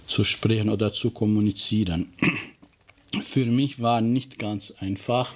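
Slow, flat-voiced man with an Albanian accent. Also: on the low side. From Kosovo. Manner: slow, halting, searching for words. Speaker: teacher. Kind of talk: interview answers.

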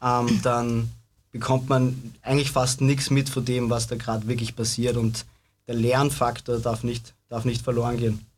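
A man's voice: mid-range voice, flat delivery, moderate pace. A man speaking reflectively as a guest in a spoken discussion.

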